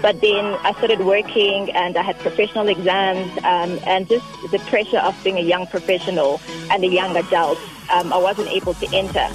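A woman's voice: fairly low pitch, steady tone, music in the background. South African woman on a phone line. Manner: measured, frequent um fillers. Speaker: guest. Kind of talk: phone interview.

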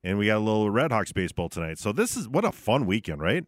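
A man's voice: on the low side, very animated, fast, no room sound, clean studio sound. A man co-hosting a radio sports show, talking casually and conversationally, often checking in with short tag questions. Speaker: sports radio host in studio. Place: radio studio.

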